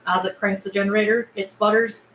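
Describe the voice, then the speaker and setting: A roomy echo and background noise surround a woman with a mid-pitched voice. She reads out scene headings and stage directions from a film script as scripted narration. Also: little pitch movement, fairly quick, fairly bright tone. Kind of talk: scripted narration at a table read.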